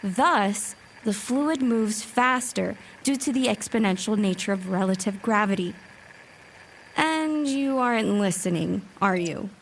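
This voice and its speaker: A woman's voice: medium pitch, lively intonation, unhurried, vocal fry, recorded from a distance, a little hiss or hum, rough recording. A woman playing a sassy, impatient, bossy sci-fi scientist in a fan audio drama, with a pedantic way of talking. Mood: pedantic lecturing. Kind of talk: acted dialogue in fan fiction audio drama.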